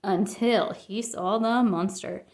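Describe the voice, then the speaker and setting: A woman reading a children's picture book aloud as an expressive storyteller. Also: mid-range voice, takes it slowly. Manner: expressive, acts out dialogue.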